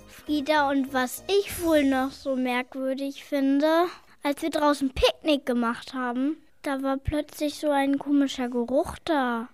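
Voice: high-pitched, fairly even intonation, unhurried, dry recording, soft background music. A child playing a young detective in an amateur radio play, reading the lines in an eager, worried way. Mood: earnest, puzzled.

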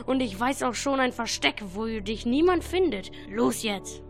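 A menacing young bully, acted by a female performer in a children's audio drama. The voice is fairly high and taunting, over faint music. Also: lively intonation, a little slow. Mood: threatening. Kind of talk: children's radio play acting.

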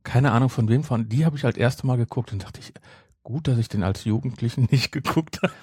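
A man. His voice is mellow and somewhat rough, recorded dry with no room sound. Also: mid-range voice, fairly quick, dry recording. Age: 50s. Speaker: podcast co-host. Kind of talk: casual chat about audio dramas.

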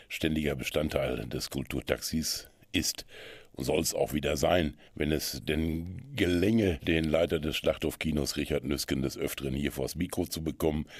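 A man presenting a radio culture magazine, chatting in long, measured sentences as he passes on tips to listeners. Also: extremely low pitch, husky, dry recording, studio quality.

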